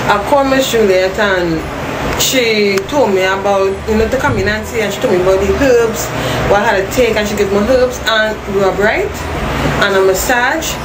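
A young woman, telling her story as a grateful client in a rambling, chatty way. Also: medium pitch. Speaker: satisfied client giving a testimonial. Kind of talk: casual testimonial. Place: indoor room, seated on a red sofa. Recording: distant mic, loud air-conditioner and traffic noise.

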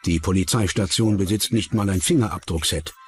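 A man's voice: low-pitched, a little fast. A man giving dubbed interview commentary in a documentary.

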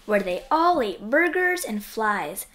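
A woman's voice: a little high, big pitch swings, takes it slowly, fairly bright tone. A woman reading a children's storybook aloud expressively, acting out the sound effects. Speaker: reader of children's books.

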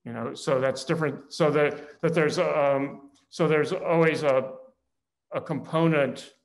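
A middle-aged man speaking as a panelist in an academic discussion over a video call from a small room at home, slow and halting with many fillers and restarts. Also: on the high side, fairly even intonation.

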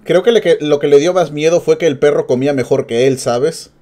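A male streamer reacts casually to a video. His voice sits a bit high for a man and has a mellow, dark-edged tone. He wanders into joking asides as he talks. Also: fairly quick. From Peru.

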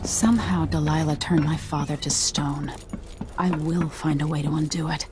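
A woman playing a determined young empress on the run in a video game, speaking to herself in a hushed, resolute way. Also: low voice, fairly even intonation, moderate pace, background noise, lightly acted. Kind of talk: dramatic game voice acting. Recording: music behind the voice.

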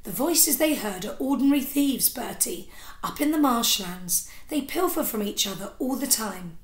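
A tender, reassuring mother from a children's story, played by a woman. The delivery is soothing and gentle, heard in an echoey room. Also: a little high, animated, moderate pace, close to an everyday voice. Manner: hushed, soothing.

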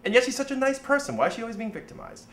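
A man in his forties hosts a casual interview. His pitch swings up and down in a very lively way, and a faint noise sits under the voice. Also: very fast. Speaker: show host, interviewer. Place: small indoor poster-filled room, cooking show set.

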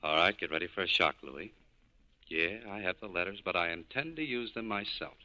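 An actor playing a cool, cynical nightclub owner in clipped, hard-boiled 1940s radio style. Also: male voice, sits low, animated, fairly quick, pushed and bright, lo-fi sound, heavily acted. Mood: cool, firm. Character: tough, cool cynical male nightclub owner scheming an escape. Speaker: lead actor in a radio drama.

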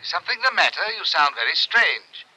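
A formal cop lieutenant, high piercing voice, old radio drama, thin phone sound. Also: male voice, sing-song, a little fast, bright, forceful tone, breathy edge, full character voice, low-quality recording. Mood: brisk, concerned. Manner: clipped, formal, businesslike. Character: brisk, formal male police lieutenant in Cape Town. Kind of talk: 1950s radio acting. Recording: old 1950s radio recording.

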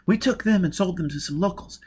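A man voicing a brusque, irritable woman on the run in an audiobook, impatient and forceful. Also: high-pitched, fairly quick, breathy edge, voice pushed up. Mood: matter-of-fact. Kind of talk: audiobook character voice.

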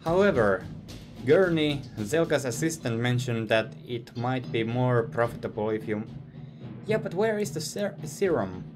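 A man's voice: mid-range voice, lively intonation, a little slow, over music. A young man from Finland with a Finnish accent, musing casually while playing a game for his stream viewers. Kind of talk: casual gameplay commentary. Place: small room indoors.

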